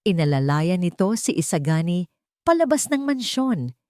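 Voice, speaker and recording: A woman's voice: lowish voice, big pitch swings, moderate pace, dark tone, no echo, studio quality. A woman narrator reading a scripted story in a dramatic style.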